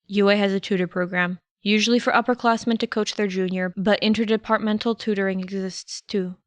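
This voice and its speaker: A woman's voice: mid-range voice, fairly even intonation, a little fast, no room sound, natural voice. A woman voicing a guarded, determined male teacher in an audiobook, earnest and restrained. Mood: firm, persuasive. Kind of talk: audiobook character voice.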